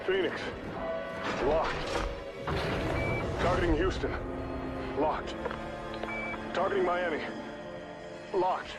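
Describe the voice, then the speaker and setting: A man's voice: sits high, slow, pauses often. A man acting a grave, methodical space mission controller in a military shooter game. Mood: grim, measured. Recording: very loud music behind.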